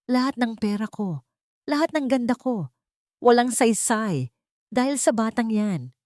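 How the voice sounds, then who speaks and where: A woman's voice: fairly high pitch, animated, measured pace, a little mellow, no room sound, clean studio sound, voice pushed up. A woman voicing a jealous, menacing rich woman villain in a dramatic audio story. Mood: bitter, envious.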